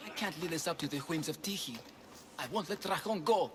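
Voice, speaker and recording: A man's voice: a little high, a little fast, long reverb tail, close to an everyday voice. A man acting a lovesick, melodramatic Greek wrestler in a video game cutscene, theatrical and dreamy. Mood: scheming, determined.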